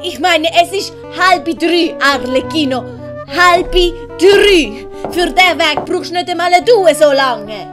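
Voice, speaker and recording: A woman's voice: very high voice, animated, slow pace, pauses often, music in the background, voice pushed up, heavily acted. A woman playing an anxious, earnest male servant in an audio play, worried and hesitant. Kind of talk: commedia dell'arte audio play acting.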